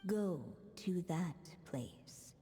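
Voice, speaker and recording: A mysterious storybook narrator from a video game, in long reverb with a faint rumble. She is solemn and poetic. Every phrase comes very slowly, in a slightly low voice. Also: animated, warm tone. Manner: solemn, deliberate.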